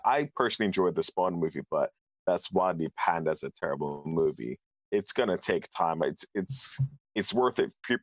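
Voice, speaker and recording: A man with a very low voice takes part in a casual panel discussion. He argues his points earnestly, and the recording is dull and somewhat lo-fi. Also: no echo. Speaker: podcast panelist.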